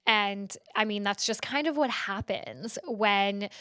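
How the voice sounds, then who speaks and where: A woman's voice: mid-range voice, slow pace, pushed and bright, clean tone, dry recording. A woman in her early thirties hosting a casual solo podcast, chatty and full of fillers, sniffly and stuffed up from hay fever. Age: early 30s. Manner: chatty, heavy use of "like". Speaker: podcast host.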